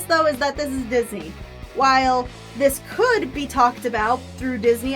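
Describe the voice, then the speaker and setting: A woman's voice: high voice, fairly bright tone. A woman giving opinionated fan commentary in a conversational, self-questioning way, as the host of a video essay. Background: background music.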